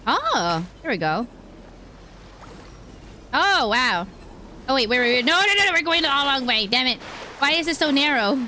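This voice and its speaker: A woman's voice: high voice, very animated, a little slow, pauses often, bright, forceful tone, a little hiss or hum. A woman chatting casually and playfully to viewers as she plays a video game, often exclaiming as she goes. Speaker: streamer playing a video game.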